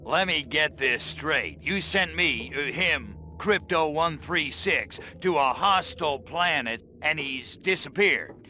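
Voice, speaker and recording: Wisecracking alien soldier, very sharp forceful tone, slow tough-guy drawl, sardonic, trigger-happy, video game style. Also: fairly high pitch, animated, slight background noise, heavily acted. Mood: insistent. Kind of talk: animated video game voice acting.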